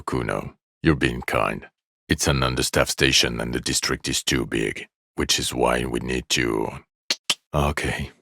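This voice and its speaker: An extremely low, creaky male voice for a middle-aged police detective in a video game. He is jaded and blunt, dripping with weary sarcasm. The sound is close and dry, with no room on it. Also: fairly bright tone, no room sound, full character voice.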